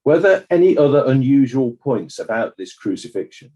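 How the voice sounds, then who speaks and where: Man playing a mellow, expressive court examiner. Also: medium pitch, normal speed, dull audio, natural voice. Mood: formal, inquiring. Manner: polite, formal, direct questioning. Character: formal, probing examiner at a court inquest.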